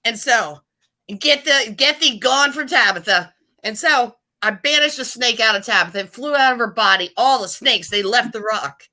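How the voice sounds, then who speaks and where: A woman's voice: a little high, moderate pace, sharp tone. A woman improvising a silly story with a friend, playful and rambling.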